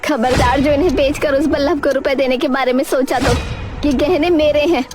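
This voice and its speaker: A woman playing a stubborn, sharp-tongued older village wife in a cartoon, scolding emphatically. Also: high voice, steady tone, moderate pace, soft background music, close to an everyday voice. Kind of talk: Hindi cartoon story acting.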